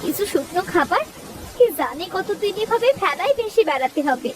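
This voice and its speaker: A woman's voice: very high-pitched, animated, fairly quick, background noise. A woman voicing a worried, tearful village wife and mother in a melodramatic, fretful cartoon style. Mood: urgent. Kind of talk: melodramatic cartoon story acting. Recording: music behind the voice.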